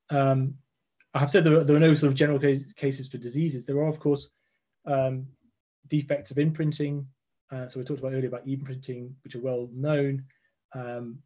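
A man in his forties, a scientist explaining his research over a video call from a room at home, thoughtful and full of pauses and fillers. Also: medium pitch, dark tone, dull audio. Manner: thoughtful, hesitant, many fillers and restarts. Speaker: genomics lecturer, guest scientist. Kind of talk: explaining research in a live online interview. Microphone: video call webcam.

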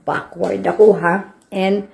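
A woman's voice: medium pitch, level tone, creaky, darkish tone, husky. A woman with a Filipino accent giving a playful, chatty house tour, switching between Filipino and English as she walks from room to room with a handheld phone. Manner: playful, mixes Filipino and English, slow drawn-out phrasing. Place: indoors in a house, walking room to room. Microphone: handheld phone camera.

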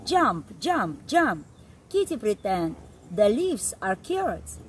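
A middle-aged woman reading a picture book aloud to young children in an expressive, deliberate way, recorded outdoors. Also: medium pitch, a little hiss or hum. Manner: expressive, deliberate read-aloud delivery with simple emphasized phrases. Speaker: early childhood storyteller. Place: outdoor backyard, seated on a garden seat.

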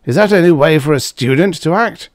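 Guy doing a loud tipsy young drill boss in an audiobook, hiccupy, bossy, voice jumping all over. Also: a little high, expressive, brisk, no echo, clean studio sound, pitched above the performer's own voice, close to an everyday voice. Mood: gruff, brash. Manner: boastful, gruff barking orders. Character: drunk brash young male drill leader.

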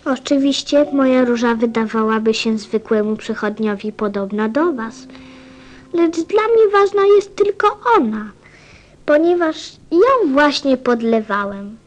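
High, slow little boy prince played by a woman. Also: lively intonation. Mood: earnest. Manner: gentle, thoughtful, sincere. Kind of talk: audio play acting.

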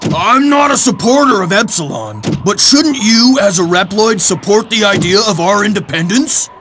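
A man's voice: sits high, animated, moderate pace. A man playing a defensive robot informer in a video game, on noisy game audio. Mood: defensive, pleading. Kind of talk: video game cutscene acting.

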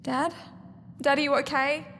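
A woman's voice: high-pitched, flat delivery, measured pace, a bit bright, long reverb tail, a little hiss or hum. An actress playing an anxious, determined young woman in a science fiction audio drama. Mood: anxious, caring.

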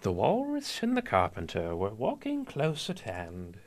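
An actor playing a mad, whimsical villain who recites children's verse in a theatrical, deliberate way. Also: male voice, fairly low pitch, huge pitch swings, slight background noise. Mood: whimsical, eerie. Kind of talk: fan radio drama acting.

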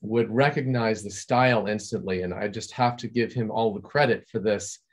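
A man from California, a composer, explaining his music in a relaxed, chatty way over a video call from his home study. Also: fairly low pitch, moderate pace, slightly rough. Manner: relaxed, chatty, restarts and fillers. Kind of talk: video-call masterclass discussion.

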